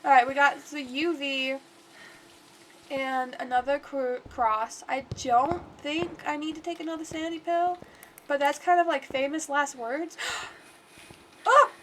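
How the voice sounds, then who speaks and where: Congested high-voiced woman streamer. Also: fairly even intonation, a little slow, a bit bright, slight background noise. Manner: chatty and rambling, talks to the game aloud. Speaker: livestreamer. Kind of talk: casual gameplay commentary.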